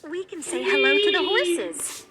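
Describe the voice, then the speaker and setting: Storybook princess voiced by a woman: young, sweet-mannered, high lively tone, music bed. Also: unhurried, a loud crowd, full character voice. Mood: gentle, cheerful. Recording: music behind the voice.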